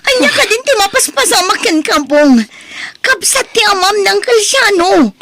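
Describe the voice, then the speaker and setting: A woman's voice: very high-pitched, animated, moderate pace, bright, forceful tone, dry recording, full character voice. A woman playing an anguished, pleading wife in an emotional family radio drama. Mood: distressed pleading. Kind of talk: Ilocano radio drama acting.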